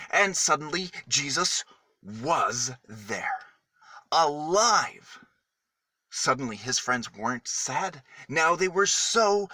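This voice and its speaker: A man reading a children's story aloud in a dramatic, deliberate manner with emphatic pauses. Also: mid-range voice, bright, forceful tone, dry recording, studio quality.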